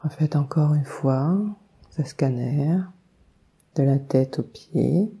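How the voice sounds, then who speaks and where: Very mellow, slow-paced woman guiding meditation. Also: rumbling low. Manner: calm, soothing, unhurried with long pauses. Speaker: yoga nidra meditation guide. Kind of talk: guided meditation, slow instructions.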